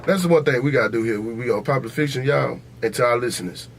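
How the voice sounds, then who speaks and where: A man's voice: medium pitch, fast talker, gravelly, noisy background. An American man hosting a podcast in a casual, opinionated debate, blunt and profane, repeating himself for emphasis. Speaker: podcast host.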